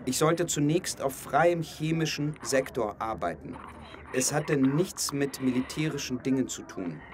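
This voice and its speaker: An actor playing a brisk, self-assured, evasive journalist in a naturalistic radio drama, offhand and hurried. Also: male voice, mid-range voice, expressive, a little hiss or hum, lightly acted. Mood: dictating.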